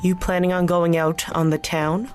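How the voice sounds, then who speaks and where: A woman's voice: lowish voice, flat delivery, normal speed, music in the background, close to an everyday voice. A woman playing a breezy, confident, worldly gambler in an audio drama. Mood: breezy, amused.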